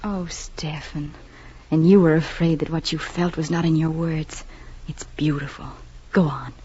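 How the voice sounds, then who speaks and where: An actress playing an affectionate, gently teasing young wife in a 1940s radio drama. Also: female voice, deep voice, fairly even intonation, unhurried. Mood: soft, loving. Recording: noisy, dull vintage radio recording.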